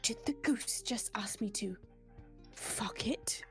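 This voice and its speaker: A woman's voice: fairly high pitch, slow, a bit bright, faint music behind. A woman voicing a flustered, easily shocked young male wizard student in a comic fanfic reading. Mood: incredulous whisper. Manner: incredulous, flustered.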